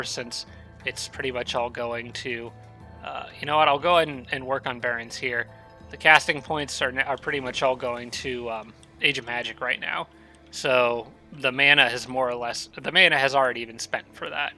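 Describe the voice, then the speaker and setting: A man with a stuffy, allergy-clogged nose talks through his strategy game turn by turn. His voice is very bright and sharp, pitched in the middle, and he thinks aloud with plenty of ums and restarts. Quiet music plays underneath. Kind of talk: casual gameplay commentary.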